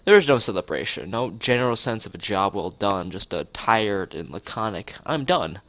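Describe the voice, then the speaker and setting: A man's voice: fairly low pitch, expressive, normal speed, fairly bright tone. A man streaming a game, reading a visual novel aloud and adding casual asides. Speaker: gaming streamer. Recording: music playing behind the voice.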